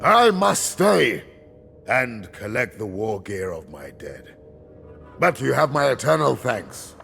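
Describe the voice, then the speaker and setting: A man's voice: medium pitch, sing-song, slow, vocal fry, fairly bright tone, faint music behind, exaggerated voice. An actor playing a weary, loyal huntsman warrior in a video game cutscene, dramatic and earnest. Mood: grateful resolve.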